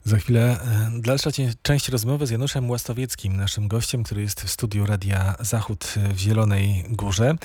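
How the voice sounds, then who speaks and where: A man's voice: lowish voice, fairly even intonation, normal speed, hardly any pauses, mellow, husky, dry recording. A man hosting a conversational radio interview from a studio. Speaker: radio host.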